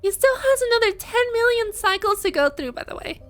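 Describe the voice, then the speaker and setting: A woman streamer giving casual, expressive live reaction commentary on a game story, with frequent interjections. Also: extremely high pitch, a little fast, soft background music.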